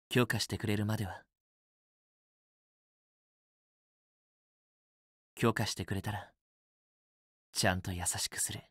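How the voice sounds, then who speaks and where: Man acting a young student boyfriend, romance game character, possessive, teasing, softly coaxing, dry close recording. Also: fairly low pitch, no echo, natural voice. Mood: tender, restrained longing. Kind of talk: Japanese otome game voice acting, intimate romantic dialogue.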